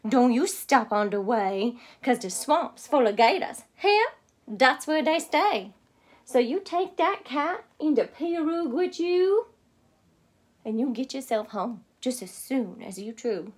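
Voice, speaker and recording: Woman doing a Cajun storybook mother, imitated Cajun accent, high voice, loud, emphatic, caring but bossy. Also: big pitch swings, takes it slowly, full character voice.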